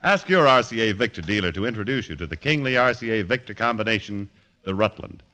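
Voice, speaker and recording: Male vintage radio announcer: bright, forceful, swooping intonation, polished and emphatic pitchman delivery. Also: expressive, fast. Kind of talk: 1940s radio announcing, scripted ad read.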